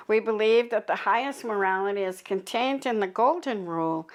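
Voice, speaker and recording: Calm woman minister leading a service. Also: medium pitch. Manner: calm, measured, unhurried leading of prayer. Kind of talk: church service, guided meditation and recited declaration. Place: church service recording.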